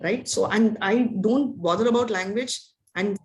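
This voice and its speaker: A woman's voice: medium pitch, moderate pace, slight background noise. Chatty woman teacher. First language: Telugu. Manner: chatty, rambling, often asks "right?". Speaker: spiritual teacher. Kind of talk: casual talk to an online class.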